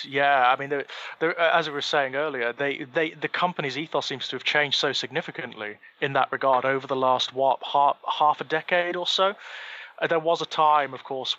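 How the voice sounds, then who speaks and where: A man co-hosting a casual podcast conversation, speaking in a measured, articulate and slightly formal way. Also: medium pitch, steady tone, pushed and bright, lo-fi sound. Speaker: podcast co-host.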